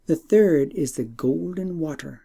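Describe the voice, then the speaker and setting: A man voicing a devout, knowing old woman in a storybook, speaking with courteous, deliberate formality. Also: a little high, gravelly, mellow, breathy edge, full character voice. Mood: courteous, marvelling. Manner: formal, deliberate, ornate speech.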